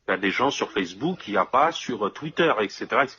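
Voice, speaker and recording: A man's voice: fairly low pitch, expressive, fairly quick, pushed and bright, breathy edge, muffled recording. A man in casual conversation, explaining things step by step and often checking that his listener follows.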